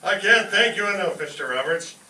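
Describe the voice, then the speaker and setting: A blustering American family man from an old radio comedy, acted by a man. He is excitable and exclamatory, with a high voice that swings widely. The recording is echoey and noisy. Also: fairly quick, exaggerated voice. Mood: excited, boastful. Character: blustering, excitable working-class American husband and father. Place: live stage show in a roomy hall. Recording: echoey room, distant mic, noisy.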